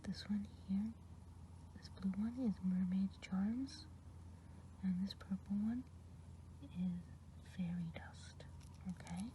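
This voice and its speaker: A woman voicing a kind good witch of the woods, whispering softly and slowly in an ASMR roleplay. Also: medium pitch, slight background noise, lightly acted. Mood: gentle whisper. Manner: soft unvoiced whisper, slow and meandering.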